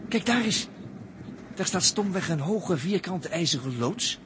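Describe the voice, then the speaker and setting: An actor playing a wry, jittery captive astronaut in a 1960s radio play, hesitant and colloquial with stammered repetitions. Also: male voice, high voice, expressive, a little slow. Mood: bewildered. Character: wry, jittery male astronaut taken prisoner. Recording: noisy old radio recording.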